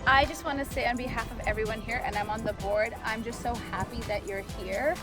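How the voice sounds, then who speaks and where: A woman's voice: fairly high pitch, steady tone, brisk, loud music behind the voice. A woman, a board member interviewing golfers at an event, affirming and encouraging.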